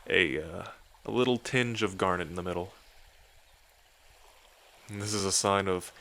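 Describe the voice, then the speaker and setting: A man's voice: sits low, fairly bright tone, exaggerated voice. A man playing an affectionate dragon king in an intimate ASMR roleplay, speaking in a casual, tender way to a lover. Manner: tender, casual, hesitant when moved.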